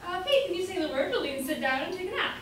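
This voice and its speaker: A woman as a very high-voiced lively maiden. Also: quick pace. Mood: breezy, eager. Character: Luce, merchant's lively young daughter, mock-sympathetic. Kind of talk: amateur staged reading of Jacobean comedy. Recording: echoey room from far off, background noise.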